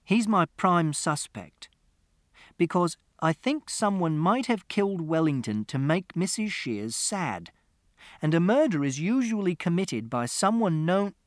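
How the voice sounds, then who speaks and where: A man voicing a literal-minded teenage boy in a storybook reading, speaking in plain, flat statements. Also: high-pitched, a little slow, dry recording, studio quality, voice pushed up. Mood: earnest.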